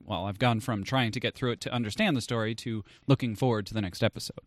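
A man hosting a podcast in casual chat, effusive, circling back over his phrases in a halting way. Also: on the low side, no room sound. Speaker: podcast host.

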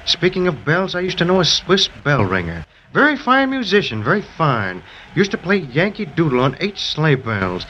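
A pompous, genial snowman gentleman, rambling in flowery phrases and repeating himself. The voice sits fairly high and swoops widely, in a muffled 1930s children's radio recording. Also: wildly swooping pitch, normal speed, hardly any pauses, slight background noise, heavily acted. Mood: chatty, self-pleased. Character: chatty, forgetful, genial snowman gentleman with silk hat.

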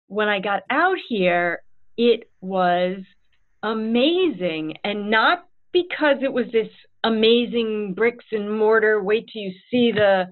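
A woman who heads a school, answering interview questions in an earnest, animated way and acting out her own past thoughts. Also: mid-range voice. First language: English. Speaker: head of school. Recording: tinny phone-like line.

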